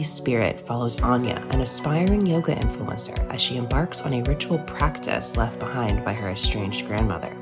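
Woman, trailer announcer, very low voice, dramatic ominous read, loud music behind. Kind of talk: scripted movie trailer narration.

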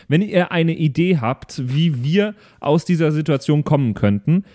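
A man's voice: a little high, darkish tone. A man hosting an audio play podcast, chatting casually in a hesitant, rambling way. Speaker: host of a pen-and-paper audio play podcast. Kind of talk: casual improvised chat.

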